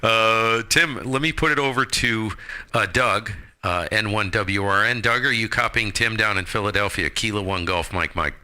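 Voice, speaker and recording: A deep-voiced American man with a very bright, forceful edge. He talks like a ham radio host, breezy and salty, tossing in slang as he chats. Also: moderate pace, clean tone. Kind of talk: casual amateur radio chat.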